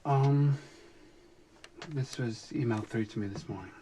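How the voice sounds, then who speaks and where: An actor plays an anxious, supportive male film producer. His delivery is natural, as in a screen drama, with clear noise in the background. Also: medium pitch, unhurried, warm tone, lightly acted.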